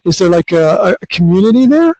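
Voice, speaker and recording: A man's voice: high voice, big pitch swings, brisk, darkish tone, no room sound. A man from the north of Scotland, hosting a casual podcast interview over an online call, friendly and often repeating himself. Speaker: podcast host.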